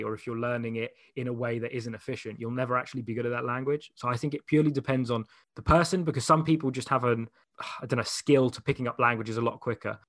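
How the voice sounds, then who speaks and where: A man, chatting as an interview guest, quick to laugh and full of anecdotes. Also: mid-range voice, fairly bright tone, no echo, clean studio sound. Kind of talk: casual chat.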